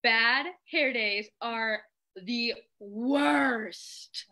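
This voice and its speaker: Woman as distressed penguin, theatrical, bright forceful tone, improvised storybook character, raised pitch. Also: extremely slow, full character voice. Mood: upset, pleading. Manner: melodramatic. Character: penguin distressed over a bad hair day. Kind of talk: improvised children's story acting.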